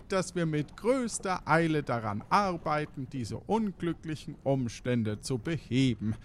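A man's voice: fairly high pitch, a little hiss or hum, pitched above the performer's own voice. A man playing a formal, overly polite pirate crew spokesman delivering an apologetic announcement in a comedy audio drama. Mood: officious.

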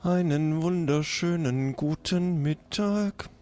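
A man playing a polite, morbid undertaker shopkeeper in an improvised radio play, formal and deadpan. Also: fairly high pitch, takes it slowly.

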